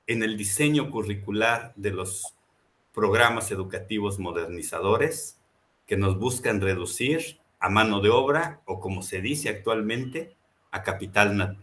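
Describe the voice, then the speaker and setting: A man from Mexico speaks earnestly and with passion, stacking phrase upon phrase as he presents a book. His voice is bright and forward. He seems to be talking over a video call. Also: fairly low pitch. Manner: earnest, impassioned, rhetorical repetition. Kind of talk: reflective book presentation talk.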